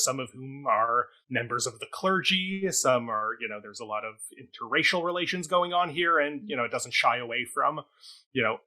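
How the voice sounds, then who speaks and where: Bright-voiced man, wordy reviewer. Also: medium pitch, low-quality recording. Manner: wordy, articulate, hedging. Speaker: talk show co-host and theatre reviewer. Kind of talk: conversational review discussion.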